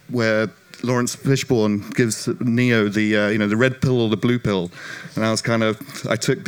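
Slightly croaky British man panelist. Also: mid-range voice. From United Kingdom. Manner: self-deprecating, animated storytelling. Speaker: panelist, non-profit director. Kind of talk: panel discussion.

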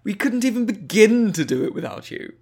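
A crafty, condescending woman official in a fantasy audiobook, acted by a male reader. She speaks in a raised pitch with a breezy, falsely chummy manner. Everything comes across as patronizing. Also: sing-song, a little fast, a little mellow, slightly rough, heavily acted. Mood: pleased. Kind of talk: audiobook character dialogue.

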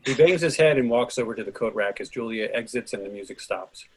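A man with a husky voice reading out a play's stage directions and cues as scripted narration. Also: medium pitch, lively intonation, a little fast, slight background noise.

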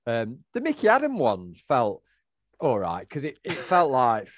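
A man's voice: mid-range voice, huge pitch swings, measured pace, dry recording, studio quality. A middle-aged man, co-hosting a casual chat and rambling through answers with lots of fillers and restarts. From England. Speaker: podcast co-host.

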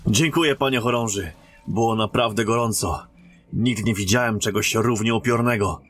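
A man's voice: on the low side, moderate pace, natural voice. An actor playing a courteous male royal emissary and captain in a fantasy audio drama, speaking with formal military politeness. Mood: formal, relieved.

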